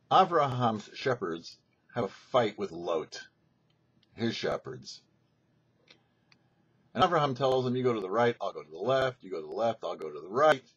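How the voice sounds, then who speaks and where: Man, rabbi hosting a religious show, husky voice, emphatic teacherly delivery, deliberate phrasing, muffled lo-fi sound. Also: on the low side. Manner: emphatic, didactic, rhetorical questions and deliberate phrasing. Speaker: rabbi, host of a weekly Torah show. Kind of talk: scripted religious teaching, sermon.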